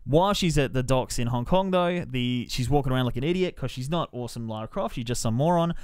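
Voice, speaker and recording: A man's voice: mid-range voice, lively intonation, quick pace, a little mellow, a little hiss or hum. A man hosting a podcast, giving a casual, sweary spoken review and acting out bits of dialogue as he goes. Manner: sweary, mimics characters in quotes. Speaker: podcast host reviewing films. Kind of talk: casual spoiler-filled movie review chat.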